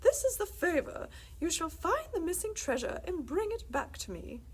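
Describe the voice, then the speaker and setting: A woman's voice: very high voice, big pitch swings, moderate pace, pitched above the performer's own voice. A woman voicing a haughty, pompous Queen of England from a children's storybook. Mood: imperious. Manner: pompous, commanding, formal.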